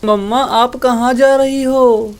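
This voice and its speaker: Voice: mid-range voice, fairly even intonation, slow pace, smooth. A voice actor playing a small, scared bird chick in a cartoon, talking in a worried, pleading way.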